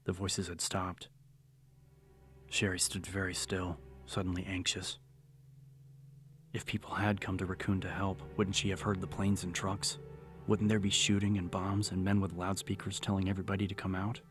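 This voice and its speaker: A man narrating a scripted horror audiobook in a measured, serious storytelling manner. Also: low-pitched, flat delivery. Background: music.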